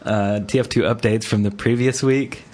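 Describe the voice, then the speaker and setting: Excitable, joking male podcast co-host. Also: lowish voice, moderate pace. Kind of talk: casual gaming podcast banter.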